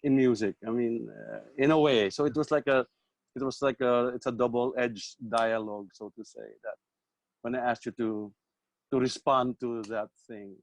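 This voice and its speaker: Halting reflective man on a video call. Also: mid-range voice, fairly even intonation, no echo, rough recording. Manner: halting, repeats words, reflective. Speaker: composer and host. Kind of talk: casual online chat.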